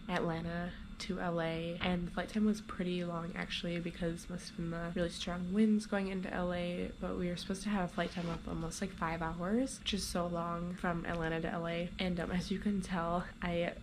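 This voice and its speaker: A woman's voice: fairly low pitch, background noise. A young woman flight attendant with a cold, chatting casually to camera in hotel rooms on her layovers, her voice stuffy and congested. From United States. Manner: chatty, filler-heavy recap.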